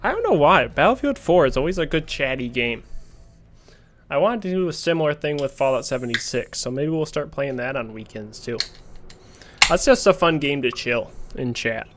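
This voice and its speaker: A man with a voice worn hoarse from talking, chatting casually with his stream audience while playing a console game and reading chat aloud. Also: on the high side, moderate pace. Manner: chatty, reads chat aloud, bursts into loud excited exclamations. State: losing his voice, sore throat. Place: at home playing a console shooter. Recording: loud game noise behind voice. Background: game gunfire, artillery and vehicles.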